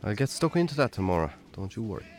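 Guy acting low-voiced irish farmer, radio play, worn down, wry, chatty, music underneath. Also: sing-song, quick pace. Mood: wry, brushing off. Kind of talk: naturalistic radio drama acting.

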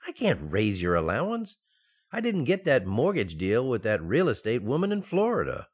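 A man's voice: mid-range voice, huge pitch swings, moderate pace, slightly rough. A man playing a sensible, exasperated banker husband in an old-time radio sitcom, mock-stern and explaining things patiently, in slightly muffled sound.

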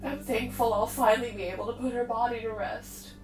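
A woman's voice: on the high side, fairly even intonation, a little fast, close to an everyday voice. A woman playing a grieving middle-aged mother in an audio drama. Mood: shaken, grateful. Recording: roomy echo, slightly distant mic, faint music.

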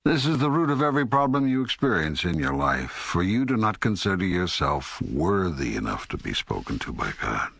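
God as an old, patient and wry authority in a dramatized audiobook. The voice is very deep and rough, with highly animated pitch. The delivery is deliberate and oratorical, in a dry, close sound. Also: male voice, no echo, heavily acted. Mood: emphatic, impassioned.

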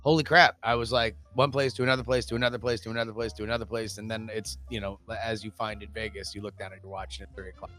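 A man with a gravelly, hoarse, worn-out voice chatting casually and emphatically as a show guest. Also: on the low side, flat delivery, fast talker, few pauses, a bit bright, faint music behind. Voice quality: gravelly, strained, trashed voice. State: hoarse after a convention. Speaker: guest, politics podcaster. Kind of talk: casual chat.

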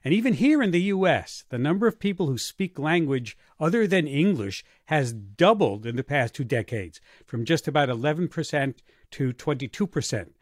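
A male radio host reads his introductions in a measured, scripted way before he turns to questioning his guest. His voice sits a little high for a man. The sound is close and dry, with almost no room in it. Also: expressive, no echo. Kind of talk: hosting a live stage interview. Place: theater stage before a live audience.

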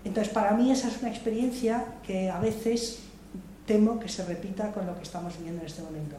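A woman's voice: medium pitch, a little fast, dark tone. An older woman from Madrid speaking at a public debate, reflective and hesitant, with many restarts and repeated words. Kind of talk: spontaneous political talk at a public debate. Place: large hall, public debate session. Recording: echoey room, voice from far off, faint noise.

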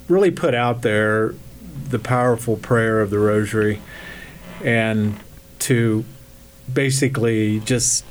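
A man who sponsors the radio segment, explaining it in casual conversation with many pauses, fillers and restarts. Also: medium pitch, darkish tone. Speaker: program sponsor.

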